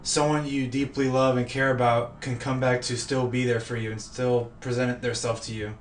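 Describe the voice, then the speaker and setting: A man interviewing a guest, casual and a bit halting as he shares a family story. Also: mid-range voice, flat delivery, distant mic, a little hiss or hum. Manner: casual, halting, laughs often. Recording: echoey room, voice away from mic.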